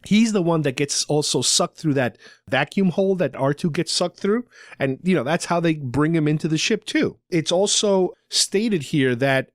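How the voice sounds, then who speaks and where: Higher-pitched man, casual chatty podcast host. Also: lively intonation, normal speed, dry recording, studio quality. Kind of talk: casual fan discussion, retelling a story.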